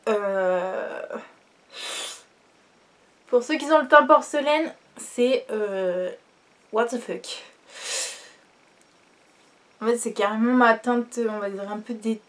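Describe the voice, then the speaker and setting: A young woman with a stuffy nose from a cold, chatting casually through a makeup tutorial with many fillers and trailing off quietly. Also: a little high. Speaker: beauty vlogger.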